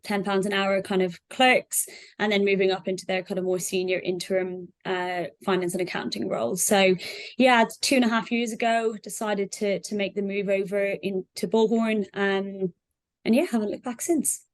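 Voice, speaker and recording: A woman's voice: mid-range voice, steady tone, moderate pace. A woman speaking conversationally as an interview guest, explaining things in a reflective, loosely hedged way. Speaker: software sales representative, guest.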